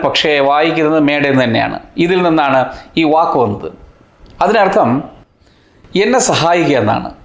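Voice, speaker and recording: A man hosting a science explainer, reading scripted narration in deliberate short phrases with rhetorical questions. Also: a little high. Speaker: host of a science explainer channel. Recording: roomy echo on the voice.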